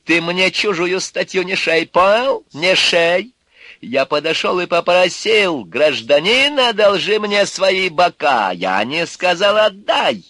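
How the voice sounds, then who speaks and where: A man doing a loud, coarse petty crook. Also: high-pitched, sing-song, slow pace, no room sound, voice pushed up, full character voice. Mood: defiant. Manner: rough slang, bullying, shouting.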